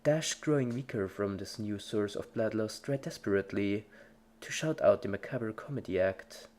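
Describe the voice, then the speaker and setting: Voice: mid-range voice, normal speed, a little mellow. A narrator giving a scripted dramatic reading of a story in the third person. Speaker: fan fiction narrator.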